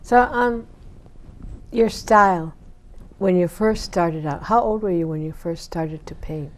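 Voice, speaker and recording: Slow-talking older woman host. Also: on the low side. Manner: slow, chatty, with small reactions. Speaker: talk show host. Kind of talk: casual interview chat. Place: small TV studio. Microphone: lapel mic.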